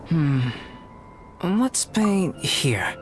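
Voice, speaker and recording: A man playing a calm, composed, scholarly young alchemist painter in a video game, measured and polite. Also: on the high side, music in the background, exaggerated voice. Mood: composed, encouraging.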